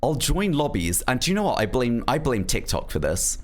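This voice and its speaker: A man's voice: medium pitch, very animated, fairly quick. A young man streaming, chatting casually to viewers in a rambling way full of "like" and "um". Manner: rambling, lots of "um" and "like", laughs easily.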